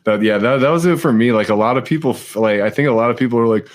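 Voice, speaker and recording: A man's voice: mid-range voice, fast talker. A man in his late thirties hosting a podcast, chatting casually, laughing often and swearing offhandedly. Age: late 30s. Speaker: podcast host. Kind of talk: casual chat. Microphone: live stream podcast mic.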